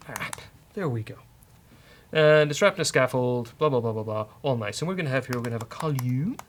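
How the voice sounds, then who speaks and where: A man with a British accent hosting a casual live coding walkthrough, thinking aloud in a jokey way. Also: mid-range voice. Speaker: host of a coding show. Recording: keyboard clatter and clicking noise. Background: typing and mouse clicks.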